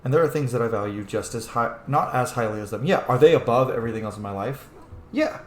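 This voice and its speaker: A man's voice: medium pitch, expressive, quick pace, a little mellow. A man giving casual, blunt and heavily profane commentary while playing a role-playing game. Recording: roomy echo, faint background noise.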